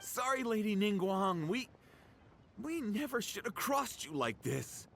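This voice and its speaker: A man playing a nervous, doubtful small-time trader with a halting manner in a video game. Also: high-pitched, big pitch swings, gravelly, a bit bright, slight background noise, full character voice. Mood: flustered. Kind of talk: scripted video game voice acting.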